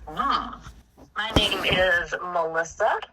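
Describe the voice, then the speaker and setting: A woman's voice: lowish voice, lively intonation, slow, heavy background noise. A woman in her fifties from South Carolina with a Southern US accent, chatting casually in a family interview and thinking aloud as she reminisces. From South Carolina, United States. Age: 50s.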